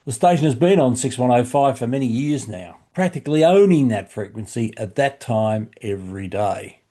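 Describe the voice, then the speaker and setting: A man's voice: mid-range voice, expressive, moderate pace, warm tone. A man with an Australian accent presenting a scripted explainer in an instructive way, posing rhetorical questions as he goes. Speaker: presenter of a shortwave radio listening channel.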